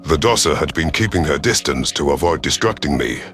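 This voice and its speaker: A man performs a proud reptilian alien commander narrating a space war story. The voice is low and stern, with formal phrasing and a gruff temper. Music sits behind it. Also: animated, brisk, fairly bright tone, heavily acted. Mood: grave narration. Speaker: narrator in character. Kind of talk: first-person scripted narration.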